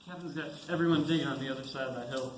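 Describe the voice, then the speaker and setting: A man's voice: mid-range voice, steady tone, rapid-fire, natural voice. A man playing an informal, griping young army soldier in an amateur stage play. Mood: joking, griping. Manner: informal, grumbling. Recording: echoey stage with clear background noise.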